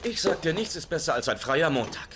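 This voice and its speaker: An actor playing an easygoing, childish husband in sitcom dialogue, playful and prone to exaggeration and excuses. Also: male voice, a little high, very animated, brisk. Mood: playful, lazy. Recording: noisy audio with clicks.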